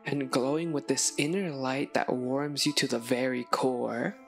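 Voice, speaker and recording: A woman narrating a scripted second-person story in a measured way, with dramatic pauses. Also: a bass voice, steady tone, soft background music. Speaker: narrator, audio roleplay voice performer.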